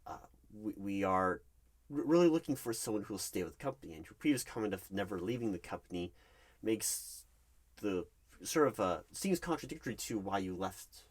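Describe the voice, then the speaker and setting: A man's voice: fairly low pitch, expressive, a little fast. A man playing a nervous, polite recruitment manager in an ASMR interview roleplay, hesitant and stammering as he stays diplomatic. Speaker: job interviewer. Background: computer keyboard typing.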